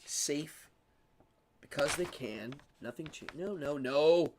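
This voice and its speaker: A man hosting a live stream, talking casually and opinionatedly as he answers chat, rambling and repeating words in quick bursts. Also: a little high, a little hiss or hum. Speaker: live-stream show host.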